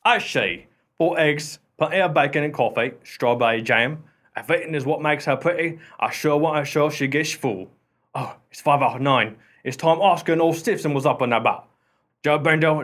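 A man's voice: a little high, fairly bright tone, lightly acted. A man playing an irreverent working-class porter in a radio play, chatty, colloquial and amiably wheedling. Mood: gleeful musing.

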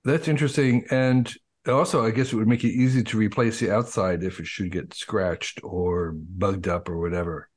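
A man's voice: on the low side, fairly even intonation, moderate pace, hardly any pauses. Male host restating things curiously. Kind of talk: casual interview.

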